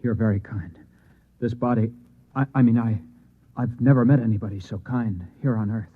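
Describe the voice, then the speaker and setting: Man playing husky, hesitant, bewildered husband. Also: mid-range voice, steady tone, moderate pace, no echo, natural voice. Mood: anxious, earnest. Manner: hesitant, stammering, earnest. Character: bewildered, meek husband convinced he is a Martian. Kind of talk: old-time radio drama acting. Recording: muffled, tinny vintage radio recording.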